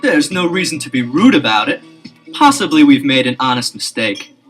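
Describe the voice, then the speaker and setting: An eager young amateur detective in an old record-album drama. His voice is slightly high and very animated as he jumps on clues. Clear music plays behind him. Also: heavily acted. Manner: eager and quick to suspect.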